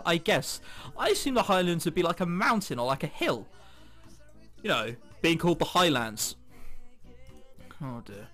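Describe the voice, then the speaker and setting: A man's voice: high-pitched, fairly bright tone. A man hosting a gaming video, reading a visual novel aloud with jokey, casual asides. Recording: music behind the voice. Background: game background music.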